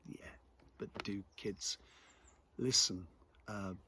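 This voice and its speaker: A middle-aged English man giving a reflective spoken monologue in a measured, conversational, musing way. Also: lowish voice, steady tone, pushed and bright, a little hiss or hum. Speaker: local commentator and host.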